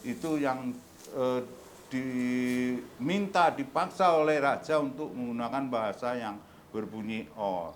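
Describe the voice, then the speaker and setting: A man interviewed for a documentary, speaking deliberately with hesitant fillers. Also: mid-range voice, a little hiss or hum. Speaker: interviewee, cultural figure.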